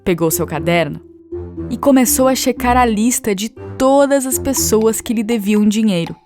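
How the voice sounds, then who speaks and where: A woman narrates a children's story in a moderately high voice. Her scripted telling runs over clearly audible music. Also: moderate pace.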